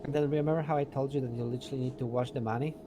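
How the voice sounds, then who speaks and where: A man in casual role-play chat, explaining things in a halting way and repeating phrases. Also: mid-range voice, fairly even intonation. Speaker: role-player in an online crime game. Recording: clear car and ambient noise behind.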